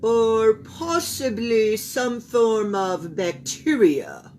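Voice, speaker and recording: A woman's voice: a little high, lively intonation, very slow, a little mellow, slight background noise, voice pushed up. A woman voicing a pompous doctor in a storybook, speaking with emphatic authority. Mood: authoritative. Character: pompous doctor, grave expert announcing theories.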